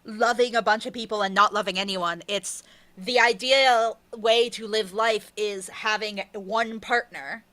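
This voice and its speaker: A woman's voice: mid-range voice, fairly even intonation, unhurried, bright, forceful tone, dry recording. A woman being interviewed as an author, chatting casually in an effusive, slangy, joking way. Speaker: interviewed author of an audio drama.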